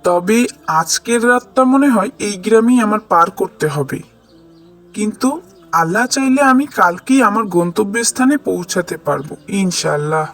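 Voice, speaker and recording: Man playing high-voiced devout lone traveler. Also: fairly bright tone. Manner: prayerful, earnest. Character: devout lone male camel traveler, trusting in God. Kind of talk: scripted moral cartoon monologue.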